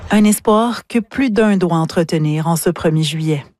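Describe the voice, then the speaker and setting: Lady reporter, lowish mellow voice, reads her script calm. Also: normal speed, slight background noise. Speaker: radio reporter. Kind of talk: scripted news report narration.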